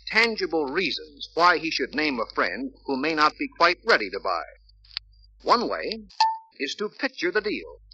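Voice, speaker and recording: An American man reading scripted sales-training narration in an emphatic, deliberate, persuasive way on a vintage film soundtrack. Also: a little high, bright, forceful tone. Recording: dull vintage filmstrip soundtrack, faint hiss.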